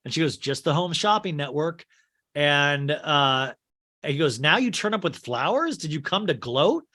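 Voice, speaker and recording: Man podcast host, fairly high and bright, voice worn and strained, retelling a film and mimicking its lines. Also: animated, moderate pace. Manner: acts out quotes in shifting put-on voices. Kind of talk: recapping a film scene by scene.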